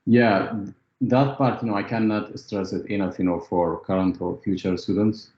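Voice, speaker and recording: A very deep-voiced man from Ethiopia gives a testimonial interview, pausing often and leaning on fillers as he talks it through. His voice sounds roomy and a little dull, as if caught from across a room. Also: steady tone. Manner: hesitant, many fillers and "you know". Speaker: former student, interviewee.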